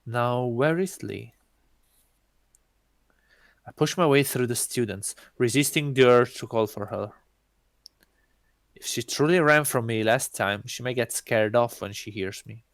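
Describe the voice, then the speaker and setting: A man's voice: medium pitch, a little slow, pauses often, dry recording, close to an everyday voice. A man voicing an arrogant god posing as an exchange student, pompous and disdainful, in a visual novel. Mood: brooding. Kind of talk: visual novel read-aloud.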